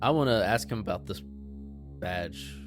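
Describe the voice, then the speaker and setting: A man's voice: on the low side, animated, moderate pace. A man talking casually as a player in a tabletop roleplaying game. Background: background music.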